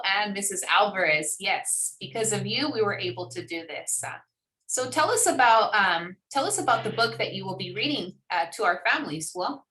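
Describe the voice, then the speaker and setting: A woman's voice: medium pitch, bright, forceful tone. A woman hosting a casual school interview and welcoming her guest. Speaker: school event host interviewing a guest reader. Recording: slightly distant microphone.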